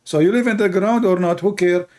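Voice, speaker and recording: Man, higher voice, sarcastic, mocking rant, broken english, lots of fillers. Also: fairly quick, darkish tone.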